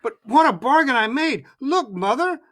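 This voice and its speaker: A storyteller voicing a young country boy who speaks eagerly and excitedly. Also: mid-range voice, moderate pace. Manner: eager, excited, at times hesitant.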